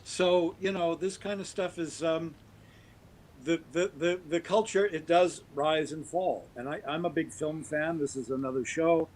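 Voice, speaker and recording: Opinionated man with a fairly high voice. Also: measured pace, a little mellow. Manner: opinionated, holding forth on a pet topic. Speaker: podcast guest, audio drama producer. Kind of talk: casual podcast conversation.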